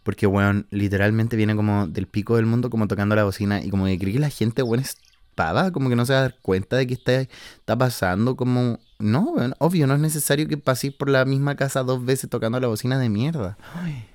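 A man's voice: mid-range voice, a little fast, a little mellow, dry recording. A man in his twenties with a Chilean accent, chatting casually and slangily as a podcast host from his house in the countryside. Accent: Chilean Spanish. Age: 20s. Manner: chatty, slangy, swearing, filler-heavy. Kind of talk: casual monologue.

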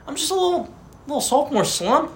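A man's voice: very high voice, sing-song, quick pace. A man co-hosting a casual fantasy football podcast, joking and trash-talking in slangy banter. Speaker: podcast co-host.

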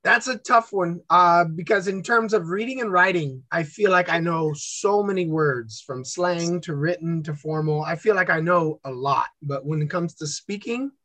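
A man chatting casually as an interview guest, telling stories in an upbeat, animated way. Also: on the high side, moderate pace, few pauses, no room sound. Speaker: guest content creator.

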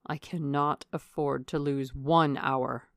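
Woman voicing a low, grave, courteous gentleman colonel. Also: steady tone, slow pace, no room sound, studio quality, exaggerated voice. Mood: regretful, firm. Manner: formal, restrained, polite.

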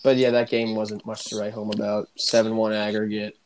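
A man's voice: on the low side, monotone, brisk, smooth. An American man hosting a sports podcast, chatting casually with friends. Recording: noticeable background noise, clicks.

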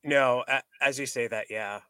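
A man's voice: medium pitch, steady tone, normal speed, pushed and bright, clean tone, no room sound. A man in his thirties co-hosting a podcast, chatting casually with wry, slangy reactions. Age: 30s. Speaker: podcast co-host. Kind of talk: casual chat.

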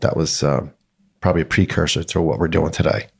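Middle-aged man, very deep rough husky voice, playful rambling dad. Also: fairly even intonation, brisk. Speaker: podcast co-host. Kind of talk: casual podcast chat.

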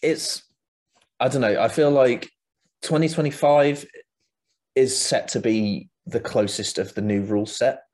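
A man's voice: mid-range voice, measured pace, pauses often, darkish tone. A man in his late twenties chatting casually as the host of a podcast. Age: late 20s.

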